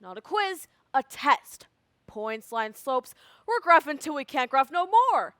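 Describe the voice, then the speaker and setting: Girl acting as a jittery school kid telling a story, high voice, emphatic, dramatic pauses, dry recording. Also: big pitch swings, fairly bright tone, no room sound, full character voice. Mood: animated exasperation. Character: animated, anxious school student recounting events. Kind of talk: theatrical monologue.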